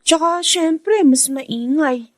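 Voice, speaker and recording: A reluctant, caring teenage girl in a radio drama, the elder sister of the family. Her voice is very high and youthful, with a hesitant manner, recorded dry. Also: slow pace, no echo. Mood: reluctant, resigned. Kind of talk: Filipino radio drama acting.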